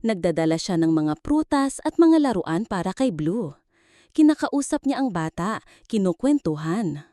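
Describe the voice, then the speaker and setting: A woman's voice: medium pitch, very animated, a little slow, mellow, dry recording, studio quality. A woman narrating a scripted dramatic story in the first person, with an earnest, sentimental delivery.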